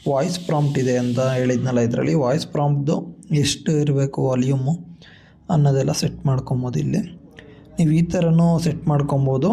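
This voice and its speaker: A man's voice: on the high side, vocal fry, mellow. A man with a Kannada accent giving a casual step-by-step product walkthrough as a vlogger, explaining and mixing in English tech words. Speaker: vlogger demonstrating a gadget.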